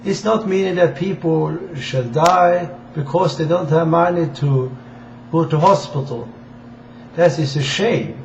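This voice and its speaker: Slow-talking Swedish man, echoey dull recording. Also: a little high, gravelly. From Sweden. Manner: halting, deliberate delivery in non-native English. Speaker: amateur political campaigner addressing viewers. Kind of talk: informal political address to camera. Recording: dull, echoey room with faint hiss.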